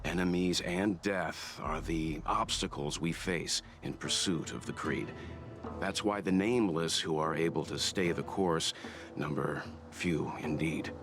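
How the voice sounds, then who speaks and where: Casting: deliberate mentor figure, low voice, score underneath. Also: male voice, a bit bright, full character voice. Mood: earnest. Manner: earnest, deliberate. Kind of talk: video game voice acting. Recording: game audio over music.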